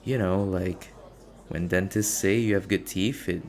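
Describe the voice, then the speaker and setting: A male actor plays a shy, gentle young man in an ASMR coffee shop roleplay. His voice is low and crackles with vocal fry. He talks slowly and hesitantly, rambling earnestly and second-guessing himself like someone anxious around strangers. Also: steady tone. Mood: awkward, rambling. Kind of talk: ASMR boyfriend audio roleplay, intimate naturalistic acting.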